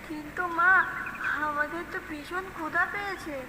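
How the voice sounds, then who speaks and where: A woman's voice: very high voice, fairly even intonation, normal speed, noisy background. A woman voicing a small duckling in a cartoon, childlike, plaintive and earnest, anxious but brave. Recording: echoey, loud music behind.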